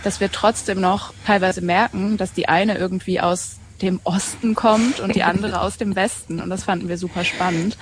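Relaxed young journalist from southwest Germany, storytelling tone, faint room noise. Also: female voice, medium pitch, moderate pace, hardly any pauses. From Mannheim, southwest Germany. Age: late 20s. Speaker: journalist, podcast co-host. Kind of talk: casual interview talk.

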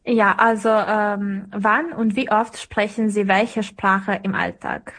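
Young female interviewer, medium pitch. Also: flat delivery, slow, a bit bright. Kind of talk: prepared interview questions.

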